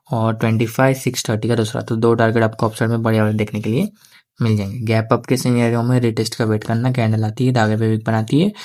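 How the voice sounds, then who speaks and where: A man walks viewers through his next-day trading plan in a casual, conversational way, often adding "theek hai". He has a sore throat and speaks slowly because of it. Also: mid-range voice, darkish tone. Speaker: stock market analyst.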